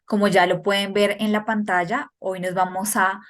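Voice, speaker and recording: A woman, hosting and introducing an informational webinar over a video call in a brisk, informative way. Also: medium pitch, level tone, a bit bright. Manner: brisk, informative announcer delivery. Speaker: webinar host.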